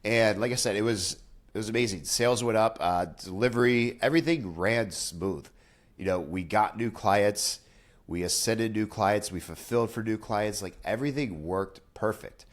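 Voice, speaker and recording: A man's voice: fairly low pitch, moderate pace. A young man with a congested, nasal voice, talking casually and candidly to camera about his business, with frequent fillers and restarts. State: nasal, congested after nose surgery. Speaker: business owner vlogger. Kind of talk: casual direct-to-camera talk.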